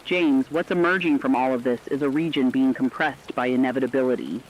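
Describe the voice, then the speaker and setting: A serious male correspondent acted by a man files a fictional emergency radio report in a medium-pitched voice. His phrasing is formal, clipped and emphatic. The sound is slightly dull and lo-fi. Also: fairly even intonation, fairly quick, slight background noise, close to an everyday voice. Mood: serious, urgent.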